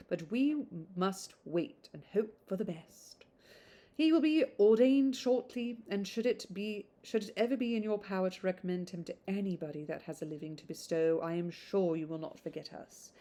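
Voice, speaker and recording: Woman voicing a young lady's letter, Regency novel, mid pitch, formal, measured, ingratiating. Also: mellow, close to an everyday voice. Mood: polite, self-pitying.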